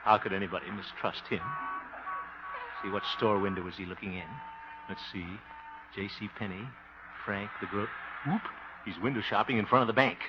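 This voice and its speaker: An actor playing a blustery, trusting, soft-hearted small-town man in a 1950s American radio comedy, flustered and wisecracking, with an American accent. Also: lowish voice, fairly even intonation, moderate pace, pauses often, lightly acted. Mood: worried muttering. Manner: flustered, wisecracking, exclaiming with nervous chuckles. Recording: muffled, noisy 1950 radio broadcast.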